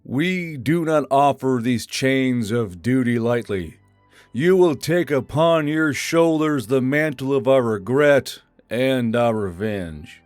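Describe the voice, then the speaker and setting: Man acting a slow, solemn drill sergeant. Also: mid-range voice, expressive, a little mellow, no room sound, faint music behind. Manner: measured, solemn, ceremonial delivery. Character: solemn, resolute male drill sergeant in a space army. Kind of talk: dramatic audio fiction acting.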